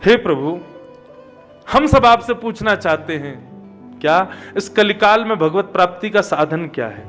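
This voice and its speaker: High-voiced male katha preacher. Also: a little slow, faint music behind. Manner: conversational, animated storytelling with rhetorical questions. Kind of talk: devotional discourse with everyday anecdotes.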